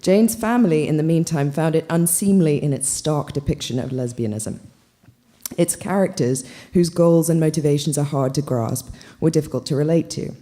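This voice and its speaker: A woman's voice: deep voice, moderate pace, warm tone. A woman reading fiction and literary commentary aloud as narration at a literary event.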